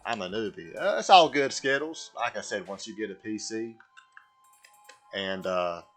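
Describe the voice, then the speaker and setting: A man voicing a slow, simple, earnest Southern American man with a folksy drawl while chatting on a gaming livestream. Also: lowish voice, animated, a bit bright. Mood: folksy, rattled. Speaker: video game streamer. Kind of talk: livestream gaming chat held in a put-on voice. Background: music.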